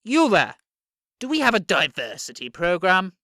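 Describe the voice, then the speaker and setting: An actor playing a smug, menacing Prime Minister in an audio drama, drawling and sarcastic, with theatrical politeness and long pauses. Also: male voice, high voice, wildly swooping pitch, sharp tone, no room sound, clean studio sound, exaggerated voice. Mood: mock-polite menace.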